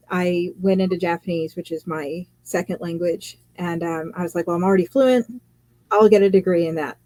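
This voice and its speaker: A woman with a low, mellow voice, a guest author and editor in a relaxed live chat. She tells long stories, acts out what others said and laughs easily. The sound is dry and close. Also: no echo, poor audio. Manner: chatty storytelling, quotes others, laughs often.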